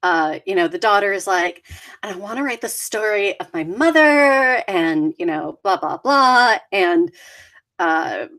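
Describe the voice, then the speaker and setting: A woman's voice: medium pitch, expressive, a little slow, fairly bright tone. A woman co-hosting a casual chat in a playful way, joking and teasing. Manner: playful, slips into mock accents. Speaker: co-host.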